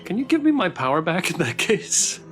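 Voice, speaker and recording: A man's voice: high voice, big pitch swings, moderate pace, a bit bright, soft background music, voice pushed up. A man voicing a confused, wronged spirit who wants answers, reading visual novel dialogue aloud in earnest. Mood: puzzled, questioning. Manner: questioning, earnest.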